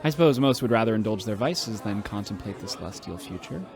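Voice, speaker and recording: An actor playing a genteel, wistful space priest, speaking in a mild, courteous and slightly formal manner. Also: male voice, fairly low pitch, animated, fast talker, vocal fry, darkish tone, a faint crowd.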